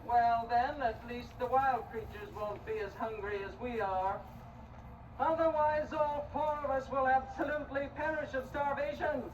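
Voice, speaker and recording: Wicked woodcutter's wife: high, scolding, selfish, melodramatic stage delivery over noticeable noise. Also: male voice, normal speed. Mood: callous, insistent. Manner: theatrical, emphatic, repetitive scolding. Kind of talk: theatrical stage storytelling. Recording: distant mic, clear background noise.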